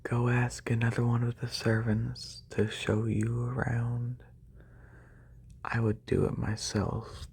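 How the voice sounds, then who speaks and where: Haughty serpentine aristocrat played by a man, ASMR roleplay; whispery, commanding, very even in pitch. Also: vocal fry, husky, full character voice. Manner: formal, composed, commanding. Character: haughty wealthy lamia noble, serpentine employer testing a servant.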